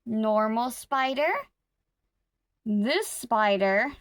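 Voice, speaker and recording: A woman vlogging casually about her crochet projects, rambling and correcting herself as she goes, her throat a little rough. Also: a little high, very slow, no echo, clean studio sound. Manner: rambling, self-correcting, talks to viewers while working. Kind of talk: casual chatty vlog.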